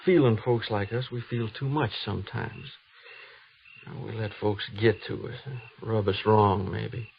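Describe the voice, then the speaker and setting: An actor playing a tender-hearted young frontier trooper and anxious husband in an old radio drama, with folksy rural American speech. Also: male voice, lowish voice, steady tone, measured pace, vocal fry. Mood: wistful. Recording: dull, noisy old radio recording.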